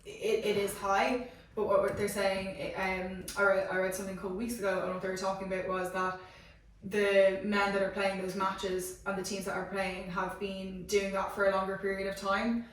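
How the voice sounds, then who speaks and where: A woman's voice: medium pitch, flat delivery, moderate pace. A woman on a panel talking through her points in a casual, explanatory group discussion. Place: echoey indoor room. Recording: echoey room, distant mic, faint noise.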